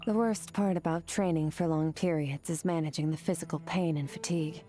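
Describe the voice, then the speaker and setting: A woman playing a composed, aloof warrior in a video game, speaking in a measured, reflective way. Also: low-pitched, steady tone, dark tone, faint music behind, close to an everyday voice. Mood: matter-of-fact. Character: composed, aloof female warrior musing on life and training. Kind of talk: scripted game character voice lines.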